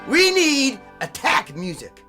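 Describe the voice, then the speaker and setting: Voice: sits high, wildly swooping pitch, takes it slowly, pushed and bright, faint music behind, full character voice. An actor playing a cocky, eager young fox hero in a cartoon, brash and breezy. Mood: fired up.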